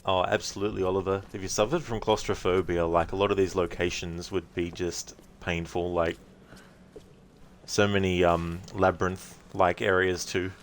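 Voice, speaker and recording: Low-voiced man streaming a game. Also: level tone, moderate pace, slight background noise. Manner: sweary, joking. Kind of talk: casual gameplay commentary to chat.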